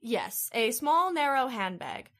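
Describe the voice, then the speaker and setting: A woman's voice: on the high side, very animated, takes it slowly, fairly bright tone, heavily acted. A woman playing an earnest, fearful young female figure in an old-time radio horror drama. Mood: earnest testimony.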